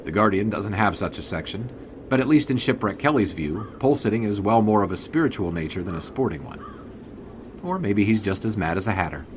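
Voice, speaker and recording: 1920s sports reporter, dry wit, radio-drama narration, low voice, noisy room tone. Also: male voice. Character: 1920s male sports writer, wry and dry-humored. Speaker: narrator and lead character.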